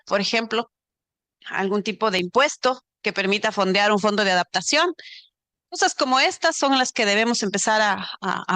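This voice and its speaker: Ecuadorian woman on a panel, higher voice, dry close sound, earnest, restarts here and there. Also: fairly bright tone, no echo. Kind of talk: panel discussion on climate finance. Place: conference pavilion.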